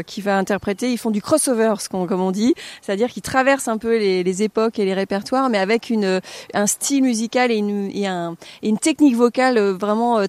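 A woman directing a choral festival, explaining the program in an interview with many pauses and fillers. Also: on the high side, a little mellow, no echo. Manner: hesitant, many fillers and restarts. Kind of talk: radio interview.

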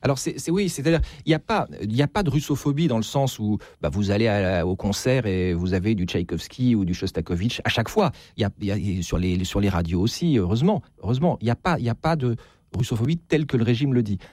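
A man speaking as a guest novelist, haltingly repeating words as he searches for phrasing. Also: fairly low pitch, darkish tone, no room sound, clean studio sound. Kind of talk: unscripted interview discussion.

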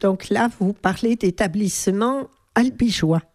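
A woman interviewer speaks slowly, feeling her way through each question with repeated fillers. Her tone is soft and mellow. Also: medium pitch. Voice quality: searching, filler-heavy questions.

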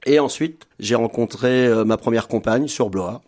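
A man's voice: medium pitch, moderate pace, darkish tone. A man in his fifties, a restaurant owner and chef, talking in a radio interview with a hesitant manner full of fillers and restarts. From France. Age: 50s.

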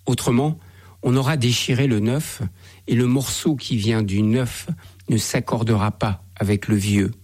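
A man reading the Gospel as a priest, in a measured, solemn manner. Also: medium pitch, steady tone, a little mellow, husky.

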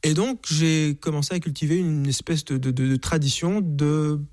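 A man's voice: on the high side, fairly even intonation, normal speed, a little mellow. A man in his forties from Rwanda, a singer and author, answering in a reflective radio interview, often hesitating and repeating words.

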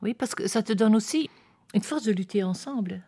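A woman's voice: medium pitch, a little fast, mellow, breathy edge. Hesitant, reflective woman. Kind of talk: interview answers.

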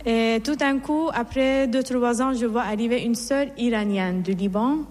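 A middle-aged nun from Iran, reverberant hall. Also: female voice, on the high side, moderate pace, a little mellow. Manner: earnest, reflective storytelling with restarts. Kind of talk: personal testimony in an interview.

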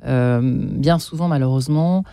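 Low, mellow-voiced woman radio host. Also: a little slow, gravelly, clean tone, dry recording, clean studio sound. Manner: short interjections and questions. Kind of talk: radio interview.

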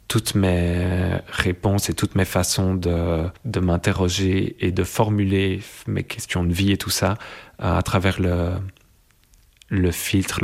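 A young man in a reflective conversation, speaking thoughtfully and searching for words, with frequent pauses and restarts. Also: low-pitched. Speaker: interviewee.

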